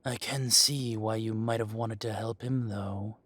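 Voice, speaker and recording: A man plays a male dragon shifter who runs the mafia, in an audio roleplay. His voice is close and dry with a husky rasp, and he talks slowly. He is mock-polite and possessive, taunting the listener with quiet threat. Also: fairly low pitch, steady tone, no echo. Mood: taunting menace. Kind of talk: scripted roleplay audio drama, addressing the listener.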